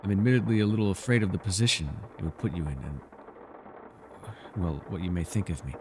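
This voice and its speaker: A man's voice: deep voice, fast, a little mellow, big-room sound, slight background noise, close to an everyday voice. A man playing a bookish, witty but guarded elf in a fantasy ASMR roleplay, speaking in a wry, wordy, formal way. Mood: apologetic, hesitant. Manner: wry, wordy and formal, flustered when pressed.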